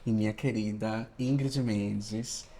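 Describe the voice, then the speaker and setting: A man's voice: on the low side, steady tone, slow, dark tone. A man co-hosting a podcast, who opens the episode and asks his questions in a rambling, repetitive way. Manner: rambling, repeats himself while framing questions. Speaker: podcast co-host.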